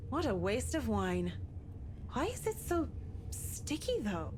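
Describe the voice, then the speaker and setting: A woman's voice: a little high, huge pitch swings, slow, noisy background, exaggerated voice. A woman playing an irritable, uneasy young woman in a horror visual novel game, exasperated and defensive in her delivery. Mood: annoyed, sarcastic.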